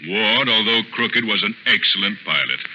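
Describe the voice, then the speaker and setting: A dull old radio recording carries a suave amateur detective. His voice is low yet very bright and forceful, and he reasons calmly with polished, deliberate phrasing. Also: slight background noise. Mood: confident. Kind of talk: 1940s radio acting.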